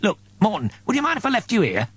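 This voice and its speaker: Man voicing a brisk, lively high-voiced priest. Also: husky, no echo, full character voice. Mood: evasive. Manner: brisk, breezy, offhand. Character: brisk, evasive, self-serving male priest. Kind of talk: audiobook character voice.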